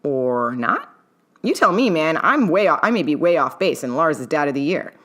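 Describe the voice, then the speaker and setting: A woman's voice: low-pitched, big pitch swings, normal speed, fairly bright tone, heavily acted. Woman voicing a brash, slangy young male scientist. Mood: protective, indignant. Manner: slangy, informal, rambling.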